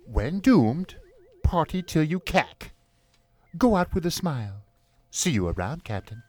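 A man's voice: a little high, big pitch swings, a little slow, a little mellow. A man playing a flippant, lecherous starship first officer in a comedic radio play, with a breezy, hammy delivery. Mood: carefree. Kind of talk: comedic radio theatre parody acting.

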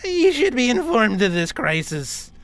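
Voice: mid-range voice, big pitch swings, a little slow. An actor playing a chirpy, guileless junior ensign in a parody radio play. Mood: eager.

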